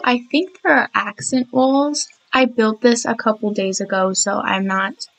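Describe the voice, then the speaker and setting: A woman's voice: fairly high pitch, poor audio. Young woman w sore throat rambling over her game build, laughs a lot, restarts a lot. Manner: chatty, giggly, apologetic, many restarts. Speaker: speed build narrator.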